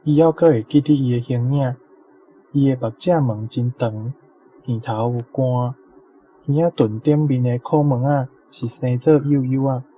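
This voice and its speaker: A man's voice: mid-range voice, fairly even intonation, measured pace, mellow, slightly rough. A man with a Taiwanese accent carefully presenting translations as a teacher, in a dull lo-fi recording. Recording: dull lo-fi sound, faint noise.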